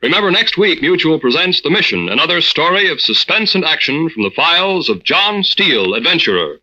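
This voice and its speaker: A man's voice: a little high, big pitch swings, a little fast. An American man reading a scripted announcement as an old-time network radio announcer. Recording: muffled old radio recording, loud music behind.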